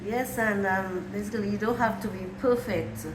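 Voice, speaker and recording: A woman's voice: mid-range voice, steady tone, moderate pace. Hesitant woman, echoey room. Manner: hesitant, repeats words. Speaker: interview guest, marriage encounter presenter. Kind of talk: casual interview answers. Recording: echoey room with clear background noise.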